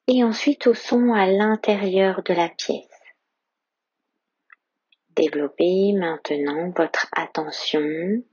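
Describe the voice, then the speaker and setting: Woman, yoga teacher, guided meditation, slow, breathy, low-ish voice, dry close mic. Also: dry recording, lo-fi sound. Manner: slow, soft-spoken guidance with whispered phrases.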